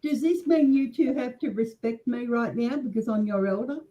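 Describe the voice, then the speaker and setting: A woman's voice: on the high side, normal speed, warm tone, dull audio. A woman in her forties chatting casually and teasing her friends. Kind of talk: casual chat.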